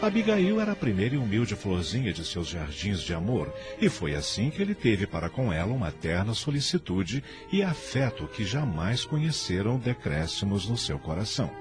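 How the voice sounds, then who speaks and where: A man's voice: fairly low pitch, big pitch swings, moderate pace, hardly any pauses. A man with a Brazilian accent narrating a radio drama in a solemn, literary, dramatic style. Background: music.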